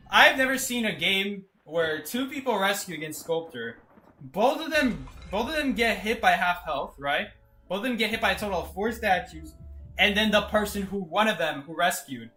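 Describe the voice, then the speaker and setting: A young man commentating his own gameplay live, excitable and laughing often, going from loud disbelief to low mutters. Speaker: game streamer.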